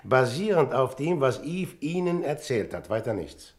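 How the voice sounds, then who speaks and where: An actor in a radio drama playing a polite, probing man with a measured, guarded manner. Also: medium pitch, sing-song, natural voice. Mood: earnest, probing. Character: polite, probing man investigating his brother's death, Mr. Bristol.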